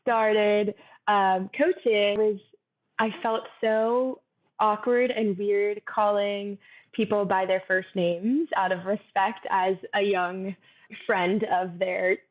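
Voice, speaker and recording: A young woman, a fitness coach, talking softly and thoughtfully in a casual interview, laughing easily. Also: medium pitch, fairly even intonation, takes it slowly. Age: young adult. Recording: tinny phone-like line.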